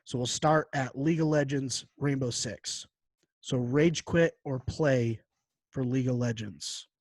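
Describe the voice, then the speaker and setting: A man hosts a casual podcast in a medium-pitched voice. His talk is informal, slangy banter, like chatting with a friend. The sound is dry, with no room echo. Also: unhurried, a little mellow. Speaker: podcast host. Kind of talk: casual chat.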